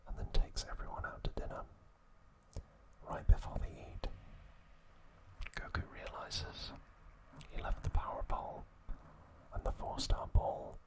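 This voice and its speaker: A man hosting ASMR, whispering a recap in a hushed, unhurried manner with deliberate pauses. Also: rough recording. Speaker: ASMR host.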